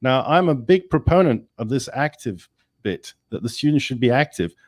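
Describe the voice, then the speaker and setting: A male engineering professor speaking in a webinar discussion, energetic and emphatic, with many restarts. English is not his first language. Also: medium pitch, normal speed, no echo.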